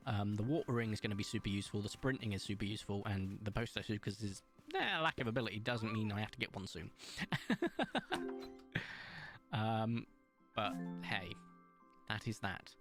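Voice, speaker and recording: A man streaming a game, chatting casually in a calm, smooth voice as he thinks aloud and rambles with fillers. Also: medium pitch. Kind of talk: casual gaming livestream chat.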